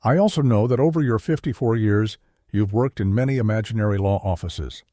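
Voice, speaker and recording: A performer playing a calm, needling mock reverend who hosts a scripted satirical interview in a measured, deadpan way. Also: medium pitch, no echo, clean studio sound, lightly acted. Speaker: host.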